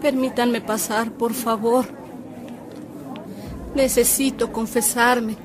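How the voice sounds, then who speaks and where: A woman's voice: fairly high pitch, little pitch movement, slow pace, noisy background. An actress playing a burdened, pleading young woman in a radio drama. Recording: music and crowd behind the voice.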